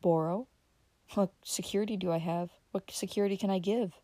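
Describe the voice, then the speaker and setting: A woman reading an audiobook gives a young male printer a calm, modest, plain-spoken voice close to her own. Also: medium pitch, a little fast, dry recording, lightly acted. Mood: calm explaining.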